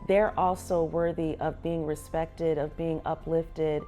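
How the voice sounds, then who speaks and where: Female professor, middle-aged, low and mellow, explaining her work on camera with light music behind. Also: little pitch movement, a little slow. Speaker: public health professor. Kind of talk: documentary interview.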